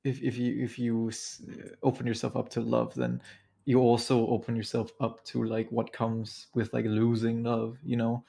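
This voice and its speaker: A man's voice: medium pitch, flat delivery, moderate pace, vocal fry, dark tone, breathy edge. A man from Germany whose first language is German, chatting casually as a podcast co-host and rambling with lots of fillers and restarts. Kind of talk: casual chat.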